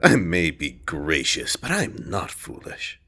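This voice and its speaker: A low, commanding king from a fantasy audio drama. He speaks formally and pompously, with condescension in every phrase, and his delivery swings widely between measured and emphatic. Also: huge pitch swings, sharp tone, full character voice. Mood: smug, condescending.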